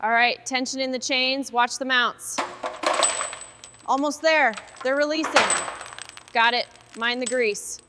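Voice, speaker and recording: A woman's voice: high voice, steady tone, measured pace, long pauses, very noisy. A woman speaking as a car restoration mechanic, narrating tasks in short phrases as she works. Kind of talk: short task narration while working.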